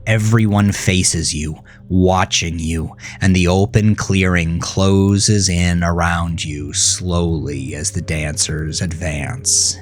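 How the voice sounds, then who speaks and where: A man's voice: low-pitched, steady tone, slow pace, hardly any pauses, soft background music. A man narrating a horror story in a dramatic, grave and suspenseful manner. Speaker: author narrating his own horror fiction.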